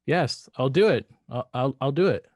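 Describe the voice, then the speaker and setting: An actor playing a weak, bewildered husband in a radio drama, halting and repeating his words. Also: mid-range voice, lively intonation, a little mellow, no echo, studio quality, lightly acted. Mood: resolving, resentful. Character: weak, bewildered henpecked husband turning to murder.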